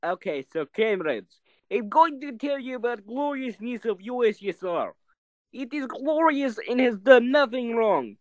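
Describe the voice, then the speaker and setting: A man's voice: very high-pitched, sing-song, unhurried, no room sound, voice pushed up, heavily acted, rough recording. A man playing a boastful Soviet propagandist from Moscow, with a mock Russian accent and broken, pompous English.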